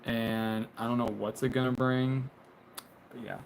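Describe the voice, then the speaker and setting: A man's voice: mid-range voice, little pitch movement, measured pace, slight background noise, low-quality recording. A man in his twenties chatting casually on a live stream, rambling with lots of fillers and restarts and laughing often, filmed on a phone on a tripod in a small closet. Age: 20s. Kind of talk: casual live stream chat, reading viewer comments. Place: small bedroom closet, indoors.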